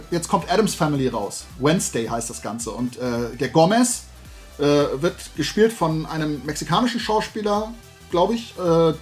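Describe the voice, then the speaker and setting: A middle-aged man hosting a casual, opinionated talk show, speaking colloquially in an animated ranting manner. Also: a little high. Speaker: host. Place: small room at home, indoors, at a desk.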